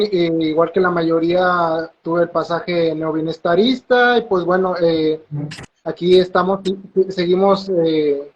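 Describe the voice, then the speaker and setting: High-voiced man, hesitant and calm. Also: dull audio. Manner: hesitant, with many fillers. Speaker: blogger and activist. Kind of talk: casual online talk. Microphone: video call.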